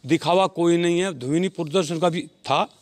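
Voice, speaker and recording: A man's voice: a little high, normal speed. An older man with a western Uttar Pradesh accent answering as a local interviewee, often repeating himself. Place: outdoors at temple entrance.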